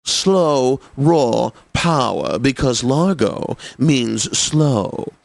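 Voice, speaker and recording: A man playing a pompous, refined classical radio DJ in a video game, presenting with affected, deliberate enunciation and droll gentility. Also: mid-range voice, expressive, gravelly, no room sound, full character voice. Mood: genteel, self-satisfied.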